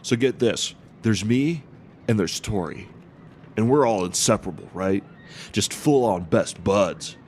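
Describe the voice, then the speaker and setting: Man playing a low-voiced, laid-back surfer dude. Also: expressive, measured pace, darkish tone, slight background noise. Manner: laid-back, rambling storyteller with surfer slang. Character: laid-back rambling surfer-slang dude, good-natured. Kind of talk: audio drama acting.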